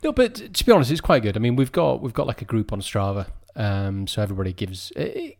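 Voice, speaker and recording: A man's voice: lowish voice, expressive, fast, creaky. A man in his forties, co-hosting a podcast in casual chat, stammering a little on restarts. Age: 40s. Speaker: podcast co-host.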